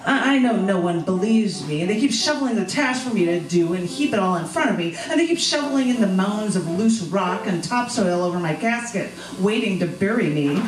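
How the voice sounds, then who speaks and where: A woman's voice: mid-range voice, expressive, brisk, few pauses. Woman on stage, echoey and far off. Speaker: performance poet and open mic co-host. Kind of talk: live open mic poetry reading and stage chat. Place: small bar venue stage at an open mic. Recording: echoey live stage, distant mic, music behind.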